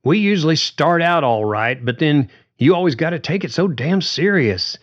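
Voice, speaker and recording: A man's voice: mid-range voice, animated, a little slow, voice pushed up. A man voicing a blunt, carefree male bandmate who drinks and swears freely, in a needling, riled-up way. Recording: dull lo-fi recording.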